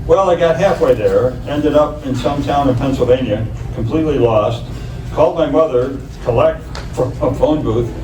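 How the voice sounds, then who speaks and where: A man's voice: medium pitch, normal speed, lightly acted. A man in an amateur stage reading, playing a good-humored older storyteller recalling his youth. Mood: amused, nostalgic. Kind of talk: amateur readers theater. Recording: echoey room, distant mic, clear noise.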